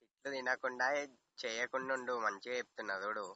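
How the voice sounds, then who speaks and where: A man's voice: medium pitch, fairly even intonation, fast, sharp tone, dry recording, soft background music. A young man making a confrontational prank phone call, brusque and threatening, in slangy speech. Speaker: prank caller.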